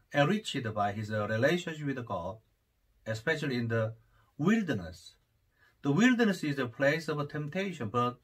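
A priest in his forties with a Korean accent gives a homily to camera in his study. He speaks unhurriedly, pauses often on fillers, and grows more fervent at times. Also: medium pitch, fairly bright tone, husky. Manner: unhurried, many hesitations, rising fervor. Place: study with bookshelves, indoors. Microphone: camera at desk distance.

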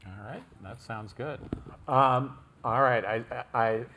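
A man's voice: mid-range voice, slow, pushed and bright, a little hiss or hum. A man moderating a conference panel in a joking, rambling way.

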